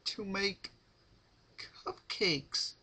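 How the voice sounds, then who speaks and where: Man as a frightened high-voiced lady pony. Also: very slow, pushed and bright, husky, full character voice. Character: frightened refined female pony captive. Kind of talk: amateur dramatic fan-fiction reading.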